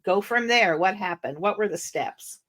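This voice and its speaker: An older woman hosting an interview podcast, relaxed and rambling with fillers. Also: mid-range voice, moderate pace. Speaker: podcast host. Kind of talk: casual interview chat.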